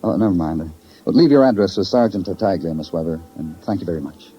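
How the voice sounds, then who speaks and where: An actor playing a probing, world-weary police detective with an American accent, terse and wry, in 1950s radio crime drama style. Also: male voice, deep voice, expressive, quick pace, soft background music. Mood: probing, polite. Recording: muffled vintage 1950s radio recording.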